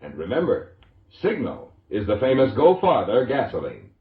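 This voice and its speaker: A man's voice: medium pitch, wildly swooping pitch, normal speed. An American man reading scripted 1940s radio advertising as an announcer, with a polished, emphatic salesman delivery. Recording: vintage radio recording, roomy echo, muffled lo-fi.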